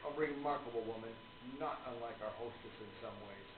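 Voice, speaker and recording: A man playing an earnest, genteel older gentleman author in a radio play, speaking in a formal, lecturing manner. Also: mid-range voice, a little fast, close to an everyday voice. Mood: devout, earnest. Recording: lo-fi, echoey room, noisy.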